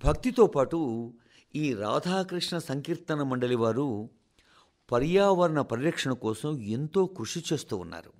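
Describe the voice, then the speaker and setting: A man reads a formal scripted radio address as a presenter, in a measured, rhetorical way that speaks directly to listeners. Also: fairly high pitch, very animated, darkish tone. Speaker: radio presenter reading a translated national address.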